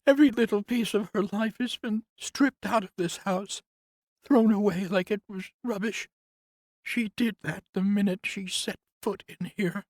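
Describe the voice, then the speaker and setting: A man's voice: sits high, a little slow, dry recording, voice pushed up. A man voicing a grieving woman in her fifties, an old family nurse, in a tearful, wavering voice for an audiobook. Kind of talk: audiobook character voice.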